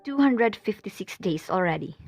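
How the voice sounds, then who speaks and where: Girl acting friendly chatty student who never sleeps, middle pitch, faint music, radio drama. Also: animated, normal speed, lightly acted. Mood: friendly, open.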